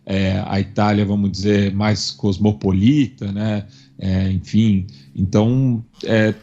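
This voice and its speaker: A podcast co-host from São Paulo, this man speaks with a Paulistano accent in a fairly low register. He talks haltingly, with frequent fillers and restarts. The audio is dull and lo-fi. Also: little pitch movement, warm tone. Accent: Paulistano Brazilian Portuguese. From São Paulo, Brazil. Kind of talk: casual panel discussion.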